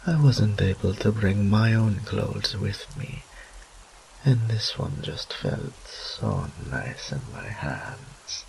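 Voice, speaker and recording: A man acts out a seductive male incubus demon for a roleplay audio drama, teasing and doting. The voice swings widely from purr to whisper with a gravelly edge. The delivery is a theatrical, very unhurried drawl, over a faint wash of rain. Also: fairly low pitch, expressive, darkish tone, a little hiss or hum, exaggerated voice, low-quality recording. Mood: flirtatious. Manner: theatrical drawl, purring, breathy whispered asides.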